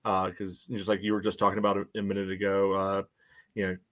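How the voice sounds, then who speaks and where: An American man with a fairly low voice talks casually as a podcast co-host. His analysis comes out measured and halting, with a dry sense of humour. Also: monotone. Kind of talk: casual sports analysis chat.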